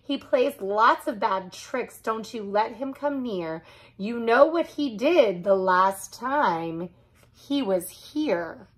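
A woman's voice: on the high side, animated, slow, husky, full character voice. A woman voicing a worried, scolding young girl from a storybook, with an anxious, warning manner.

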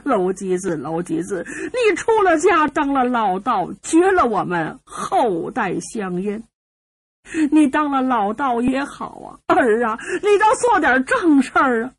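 A woman storyteller voicing an old father who wails and sobs a drawn-out lament for his doomed son. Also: on the high side, sing-song, full character voice. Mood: wailing grief. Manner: drawn-out sobbing lament with stretched cries. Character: wailing old father mourning his doomed son, mocking ghostly mourner.

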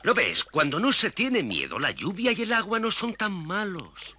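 A man's voice: high-pitched, sing-song, moderate pace, pushed and bright, slight background noise, heavily acted. A man playing a wry, worldly grasshopper in a children's cartoon, with a mocking, opinionated manner. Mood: reassuring. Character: wry, worldly male grasshopper, skeptical protective friend. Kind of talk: dubbed children's cartoon acting.

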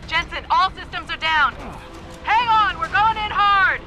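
A woman playing a brisk, wry young pilot in a video game, confident and clipped. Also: very high voice, bright, forceful tone, soft background music, a faint crowd, heavily acted. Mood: urgent. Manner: confident, teasing, clipped radio-call phrasing.

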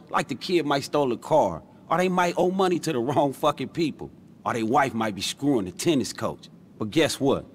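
Confident hustler, lively and slangy, played by a man. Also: mid-range voice, slight background noise, close to an everyday voice. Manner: casual, slangy, persuasive. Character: self-made street hustler turned businessman, confident, easygoing. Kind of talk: video game cutscene dialogue, casual slang.

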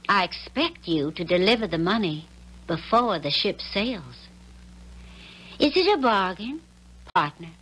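A woman's voice: lowish voice, animated, a little slow, long pauses, a bit bright, slightly rough, full character voice, poor audio. An actress playing a poised, shrewd and coy young woman in a 1970s radio drama. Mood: cool, shrewd.

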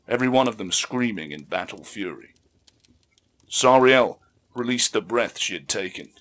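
A man narrating an audiobook story in a measured, theatrical way, with emphatic swells. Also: fairly low pitch, fairly bright tone. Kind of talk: dramatic scripted story narration.

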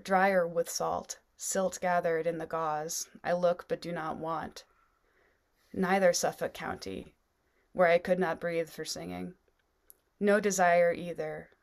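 Female poet, thirties, lower register, deliberate phrasing, reading aloud from home. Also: creaky. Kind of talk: poetry reading. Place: small room at home, on a video call.

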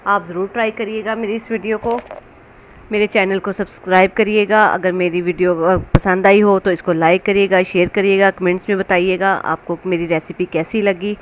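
A woman's voice: medium pitch, fairly even intonation, normal speed, low-quality recording. A woman giving a casual, step-by-step recipe narration as a home cook, in a conversational, instructional manner. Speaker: home cook hosting a cooking channel. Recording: clear background noise, kitchen ambience.

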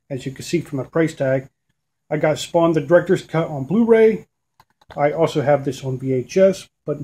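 A man's voice: fairly high pitch, darkish tone, husky. A man in his thirties casually showing off his DVD finds at home, rambling with fillers and restarts, recorded on a webcam. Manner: rambling, with frequent fillers and self-corrections. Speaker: DVD collector vlogger. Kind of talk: casual video update. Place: small room at home, indoors.

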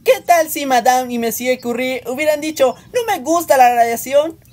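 Woman voicing a very high, hyper little boy cat. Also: moderate pace, a little mellow, lightly acted. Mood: exasperated, coaxing. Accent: Latin American. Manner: theatrical, grandiose, pushy. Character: hyper, bossy little boy cat, adventurous amateur scientist. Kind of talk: animated comic fandub.

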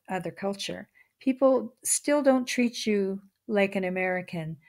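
A woman, the author, reading her nonfiction book aloud at a steady, measured pace. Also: mid-range voice.

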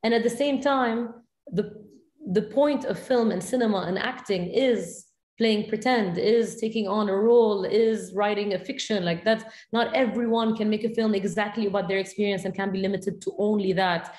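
A woman's voice: medium pitch, fairly even intonation, normal speed, a little mellow, echoey room. A woman in her thirties, a film director from Lebanon, talking candidly and thoughtfully in an interview over a video call, with many "you know"s. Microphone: video call, webcam.

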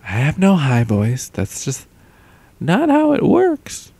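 A man's voice: a little high, wildly swooping pitch, takes it slowly, vocal fry, a little mellow, no room sound. A young man rambling casually into a close mic with a pop filter at home, full of fillers and trailing thoughts. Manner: meandering, lots of fillers and trailing off. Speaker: audio vlogger. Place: home, indoors.

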